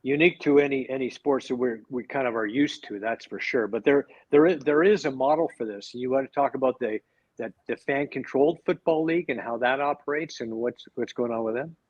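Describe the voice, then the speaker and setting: A man's voice: medium pitch, a little fast, no room sound. A man hosting a casual interview, asking long, enthusiastic questions with many restarts. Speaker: host. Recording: dry, slightly dull recording.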